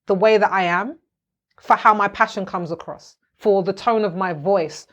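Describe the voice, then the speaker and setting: A British woman speaking earnestly and reflectively, her voice sitting at an ordinary middle pitch. She talks in a candid conversational way. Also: normal speed, highs cut off.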